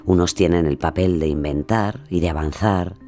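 Guy playing a knowing sardonic narrator, really deep, takes his time, audio drama. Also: mellow, soft background music, lightly acted. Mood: reflective. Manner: measured, sardonic. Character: wry, knowing male narrator addressing the heroine.

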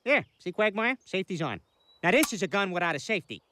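An actor playing a dim, brash, childish middle-aged family man in an animated sitcom, with an oblivious, blunt manner. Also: high-pitched, fast talker, heavy background noise.

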